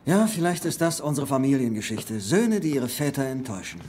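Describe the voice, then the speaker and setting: An actor playing an earnest, insistent treasure hunter, a grown son, in a dubbed film. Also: male voice, mid-range voice, very animated, a little fast, noisy background, close to an everyday voice. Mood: frustrated. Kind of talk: German film dub acting. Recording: film soundtrack with music underneath.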